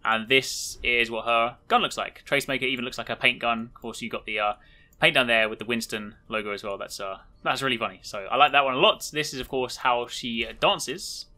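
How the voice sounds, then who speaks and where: A man's voice: mid-range voice, brisk, pushed and bright. A man hosting a gaming video, giving chatty, informal, enthusiastic showcase commentary.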